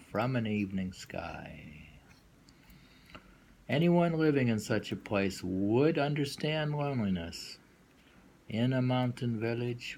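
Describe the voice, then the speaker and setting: A man giving an informal poetry reading with commentary, musing haltingly and repeating phrases as he explains. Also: on the low side, a little mellow, a little hiss or hum.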